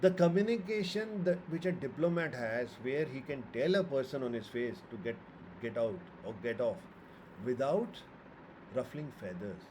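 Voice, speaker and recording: A man's voice: mid-range voice, dark tone, a little hiss or hum, rough recording. A man with an Indian accent giving an informal, opinionated astrology lecture, making emphatic points and posing rhetorical questions. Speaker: astrologer and author.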